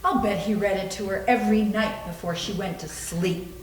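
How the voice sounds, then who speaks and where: A woman's voice: mid-range voice, steady tone, moderate pace, slight background noise, lightly acted. A woman in a readers theater playing a sardonic, flippant young woman with a wry delivery. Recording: echoey room, distant mic.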